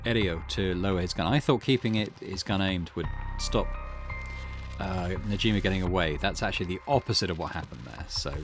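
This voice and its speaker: A man's voice: sits low, brisk. A man hosting a gaming channel, giving casual let's play commentary and thinking aloud hesitantly. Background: game music.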